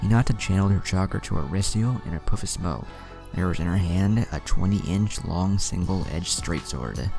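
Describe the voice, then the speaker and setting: A man's voice: low-pitched, moderate pace, creaky. A man narrating a fan fiction story, reading it aloud with background music behind him.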